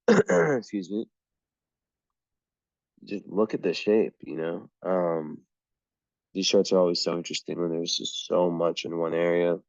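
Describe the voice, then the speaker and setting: Deep, slow-talking hoarse man. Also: darkish tone, no echo. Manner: rambling, halting, frequent throat clearing. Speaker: astrologer. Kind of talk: solo chart reading. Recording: dull lo-fi sound.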